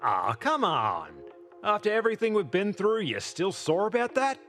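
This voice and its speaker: Cocky rogue space pilot, game cutscene: animated delivery, teasing, flippant, sweary, over prominent music. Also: male voice, high-pitched, moderate pace, full character voice. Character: cocky roguish male pilot captain and scammer. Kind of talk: video game cutscene dialogue.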